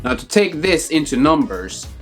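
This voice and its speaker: A man with a Norwegian accent, speaking English as a second language, walks through camera gear in a careful, deliberate way, picking each word. His voice is captured on a phone, with clear background music running under it. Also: a little high, sing-song. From Norway. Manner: careful, step-by-step explaining with deliberate word choice. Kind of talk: explanatory tech vlog.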